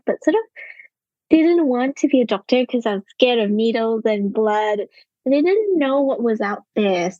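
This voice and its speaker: A woman's voice: a little high, darkish tone, no room sound, dull audio. A woman who grew up in Malaysia, answering interview questions in a thoughtful, storytelling way. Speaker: scientist guest.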